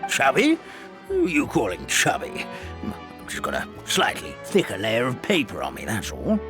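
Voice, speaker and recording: A man's voice: on the low side, wildly swooping pitch, normal speed, over music. A man voicing a forgetful, fussy old hamster elder in a video game, courtly and dithering. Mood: indignant.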